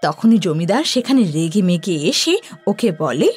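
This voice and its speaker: Lively woman narrating a horror story. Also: on the low side, a little slow, slightly rough, soft background music. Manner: expressive storytelling.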